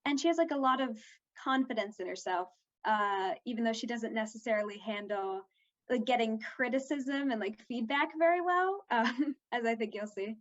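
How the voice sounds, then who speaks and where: A woman's voice: on the high side, lively intonation, moderate pace, muffled recording. A fourteen-year-old girl chatting casually as an interviewed young actress, her talk peppered with "like".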